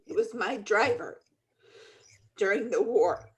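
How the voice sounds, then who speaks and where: A woman's voice: mid-range voice, slow pace, close to an everyday voice. A woman playing a defensive military colonel with a shady past in a comedy script table read.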